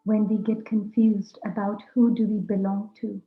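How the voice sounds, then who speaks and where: A woman leading a guided meditation talk, speaking deliberately in short, measured phrases. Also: mid-range voice, flat delivery. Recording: roomy echo, dull lo-fi sound, music. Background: music.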